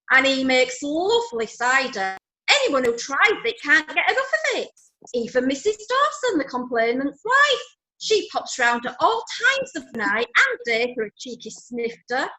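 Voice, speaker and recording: A gossipy, oblivious wife, played by a woman, chatting cheerfully in the witness box. Her voice is high, bright and lively. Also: heavily acted, rough recording. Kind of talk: amateur radio drama.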